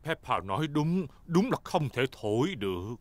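A man's voice: medium pitch, sing-song, moderate pace, dry recording, lightly acted. A man voicing a jolly, slightly vain father pig in a dubbed cartoon. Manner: jovial, self-satisfied. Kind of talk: cartoon dubbing.

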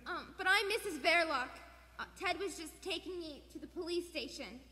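An actress playing a distraught, faltering young wife in a radio play on a theater stage. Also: female voice, extremely high pitch, animated, moderate pace, pushed and bright, exaggerated voice. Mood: shaken, faltering. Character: distraught, hesitant young wife in serious trouble. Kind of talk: 1940s radio play acting. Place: theater stage in front of an audience. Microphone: standing stage microphone. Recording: live theater stage, long reverb.

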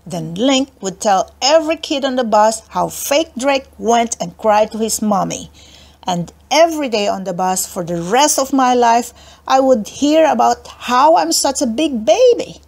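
Creaky, higher-pitched woman reading a children's story. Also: lively intonation, unhurried, darkish tone. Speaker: audiobook narrator. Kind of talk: expressive children's story read-aloud.

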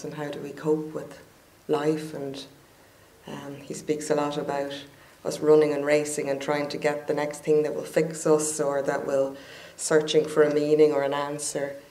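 A woman hosting a cinema Q&A, reflecting on the film in a calm, thoughtful way. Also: deep voice, moderate pace. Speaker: Q&A host. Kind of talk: hosting a post-screening Q&A. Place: cinema auditorium. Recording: roomy hall with faint noise.

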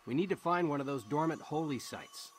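A man's voice: fairly high pitch, expressive, fairly quick, slight background noise, close to an everyday voice. A man playing a wry, wisecracking soldier. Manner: casual, wisecracking. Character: human soldier in alien body, wry.

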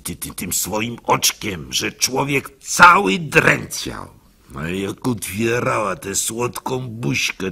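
An actor playing a meek, gullible, lovestruck baker in a radio drama monologue, husky and gravelly, confessing his troubles in a hesitant, stammering way. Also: medium pitch, pushed and bright, full character voice. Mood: rueful, adoring.

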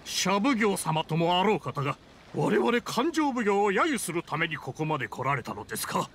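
Haughty scheming official, swooping pitch. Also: male voice, sing-song, moderate pace, a bit bright, heavily acted. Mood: indignant. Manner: indignant, formal, pompous. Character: scheming male official, haughty, defensive, easily riled. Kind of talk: Japanese anime game voice acting. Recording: game dialogue over ambient scene noise. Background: game scene ambience.

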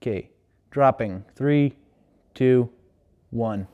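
A man's voice: mid-range voice, very slow, echoey room, narrow, tinny audio, noisy background. A young man casually talking through tests in his garage workshop, thinking aloud as he works, recorded by a camera across the room. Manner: plain, thinking aloud while working. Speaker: DIY tester. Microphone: far camera.

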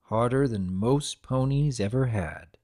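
A man's voice: medium pitch, measured pace, a little mellow. A man narrating a story as a scripted dramatic reading.

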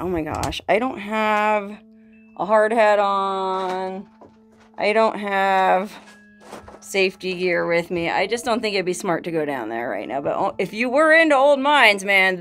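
A chatty woman in her forties narrates her own exploration, recorded on a phone held at arm's length, sliding between hushed remarks and excited exclamations. Her pitch sits in an ordinary middle range, and faint music plays underneath. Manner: chatty, laughing, awed exclamations. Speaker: solo explorer vlogger. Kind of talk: casual exploring commentary. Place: inside an old mine and outdoors in a desert canyon. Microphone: handheld phone at arm's length.

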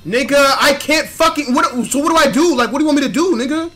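A man's voice: very high voice, quick pace, sharp tone. A young man chatting casually over a game, exasperated and swearing heavily. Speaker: gaming video creator. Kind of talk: casual gaming voice chat. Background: background music.

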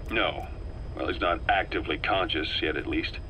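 A man acting a calm, calculating middle-aged intelligence operative in a video game, speaking in a measured, deliberate way. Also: low voice, background noise, natural voice. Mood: grave. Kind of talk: dramatic game dialogue, briefing.

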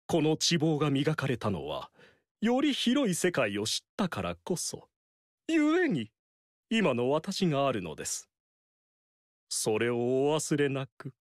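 A self-satisfied strategist who boasts of his own schemes. His pitch leaps and dips dramatically while he speaks in a slow, savoring way. The recording is close and dry. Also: huge pitch swings, no echo, full character voice. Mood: proud. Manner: boastful, theatrical, swings loud and soft. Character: theatrical vain male strategist, boastful schemer. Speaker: game character voice. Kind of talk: Japanese game dialogue acting. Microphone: dry studio mic.

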